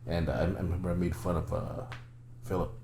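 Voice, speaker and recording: Low-voiced man telling rambling stories. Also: steady tone, fairly quick, creaky, dark tone. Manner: rambling storyteller, crude teasing humor, frequent stammered restarts. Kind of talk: casual chat. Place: room in Fresno. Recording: roomy echo, faint background hum.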